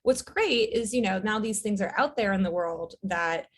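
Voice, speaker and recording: A woman's voice: medium pitch, moderate pace. Woman guest, interview, thoughtful, filler-heavy, casual talk. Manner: thoughtful, many fillers, laughs softly. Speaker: guest, writer and director.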